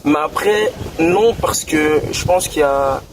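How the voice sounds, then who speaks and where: A man's voice: fairly high pitch, unhurried. Casual young Belgian man, far-off mic. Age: 20s. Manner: relaxed, slangy, many restarts and fillers. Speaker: guest rapper. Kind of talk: casual interview. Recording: distant mic with clear background noise.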